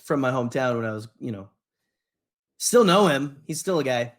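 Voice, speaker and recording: A man co-hosting a podcast, chatting casually in a loose, halting and joking way with rambling anecdotes. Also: medium pitch, lively intonation. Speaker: podcast co-host.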